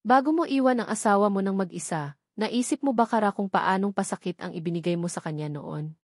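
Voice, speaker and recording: A woman's voice: medium pitch, fairly quick, darkish tone, no echo, studio quality, natural voice. A woman voicing a caring, earnest mother who counsels her grown daughter in a novel reading. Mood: gently admonishing.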